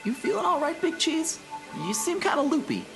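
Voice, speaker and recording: A woman's voice: sits high, sing-song, moderate pace, over music. A woman playing an earnest, honest young male rookie cop, eager and questioning, in an old-time radio drama. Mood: incredulous.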